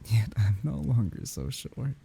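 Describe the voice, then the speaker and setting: A man performs a mourning male lover in an intimate ASMR roleplay, saying goodbye at a graveside. The voice is very dark and soft, heavily breathy and husky, with a creaky edge. He speaks haltingly and tenderly, often sinking toward a whisper. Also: mid-range voice, full character voice. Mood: wistful. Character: grieving man saying farewell at his dead lover's grave.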